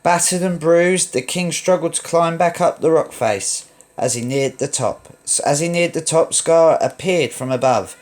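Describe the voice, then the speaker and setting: A man reading a children's story aloud as narrator, in a dramatic, expressive read-along style. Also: fairly high pitch, unhurried.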